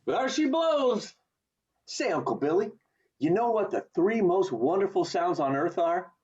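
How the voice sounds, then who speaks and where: An actor playing an earnest, idealistic young man in an amateur radio play, impassioned and halting, over a video call. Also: sits high, animated, a little mellow, breathy edge, full character voice. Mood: eager. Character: earnest idealistic young man defending his late father. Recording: muffled online video call audio.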